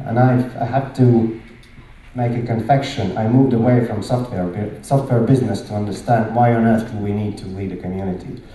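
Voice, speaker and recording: A man's voice: fairly low pitch, steady tone, normal speed, mellow, slight background noise. A middle-aged man from Latvia with a Latvian accent, giving a conference presentation in emphatic short phrases with rhetorical questions. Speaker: conference speaker. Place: large conference hall, indoors. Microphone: handheld microphone. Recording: very echoey hall, distant sound.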